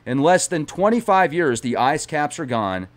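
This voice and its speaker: A man's voice: on the high side, sing-song, normal speed. A man streaming as he reads a book aloud dramatically, breaking off now and then for chatty digressions to his viewers. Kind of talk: reading a book aloud with casual asides.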